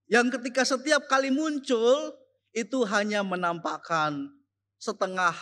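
Slow, bright-voiced man preaching. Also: sits high. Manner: slow, emphatic preaching with repeated phrases. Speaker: chapel speaker and teacher. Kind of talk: chapel sermon to students. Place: school chapel.

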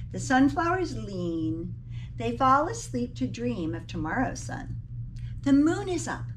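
Female teacher, middle-aged, expressive picture-book read-aloud for young kids. Unhurried, animated intonation, noticeable noise on the recording. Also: medium pitch. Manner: slow, deliberate phrasing as if addressing young children. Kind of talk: expressive children's story read-aloud with short asides. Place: indoor classroom beside a large screen. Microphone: camera a few feet away. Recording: clearly noisy room recording, camera mic.

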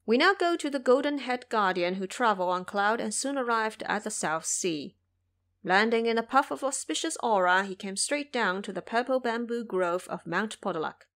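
A woman's voice: a little high, lively intonation, moderate pace, a bit bright. A woman narrating a scripted audio drama as a storyteller.

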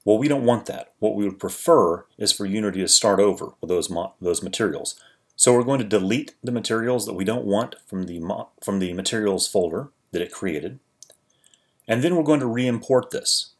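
A man with a fairly low voice narrates a screen-recorded 3D tutorial. He explains each step calmly and informally, often restarting his sentences as he thinks. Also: moderate pace. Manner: relaxed, explanatory, with frequent restarts. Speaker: 3D tutorial creator.

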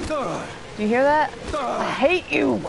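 Animated, higher-voiced woman streaming a game. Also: slow, creaky. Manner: profane, playful, sarcastic. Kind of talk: casual live gameplay commentary. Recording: noisy, game sound behind voice. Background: video game ambience.

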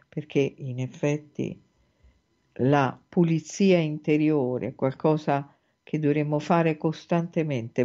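A woman hosting a spiritual talk, explaining a fairy tale in a thoughtful, measured way with frequent hesitant pauses, in dull lo-fi podcast audio. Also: sits low, mellow. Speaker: host and spiritual teacher. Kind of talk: reflective spoken lecture on a fairy tale.

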